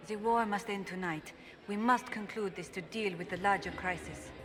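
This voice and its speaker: Woman voicing a witty courtier, wry, arch, loud music. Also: medium pitch, moderate pace, close to an everyday voice. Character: witty female noble courtier, sly. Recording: game dialogue over loud music.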